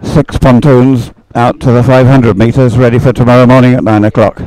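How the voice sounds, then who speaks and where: A man giving live, off-the-cuff race commentary in a halting, rambling way, hesitating as he searches for words. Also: medium pitch, flat delivery, darkish tone, no room sound, low-quality recording.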